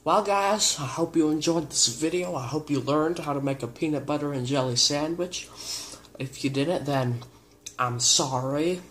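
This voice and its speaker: A man's voice: fairly high pitch, normal speed, fairly bright tone. A teenage young man casually hosting a homemade cooking show in a laid-back, goofy, slangy way, showing each step in a home kitchen. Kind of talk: casual vlog presenting, demonstrating steps. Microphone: camera on the counter, about a metre away. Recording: clearly noisy homemade camera audio.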